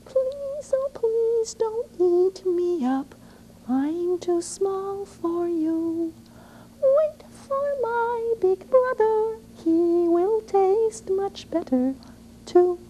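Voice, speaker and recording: A tiny, timid billy goat pleads in a very high, thin squeak, drawn out slowly, as a woman storyteller voices him. He has a small and frightened storybook voice. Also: lively intonation, dark tone, a little hiss or hum, heavily acted, rough recording. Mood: pleading.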